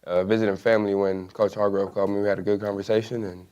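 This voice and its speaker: A young college athlete answers questions in a relaxed, offhand way, leaning on fillers. His voice sits low and the words come fast. The recording is dull and lo-fi. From Las Vegas, Nevada. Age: young adult. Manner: laid-back, many fillers like "you know" and "like". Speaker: college basketball player. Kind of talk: casual interview answers.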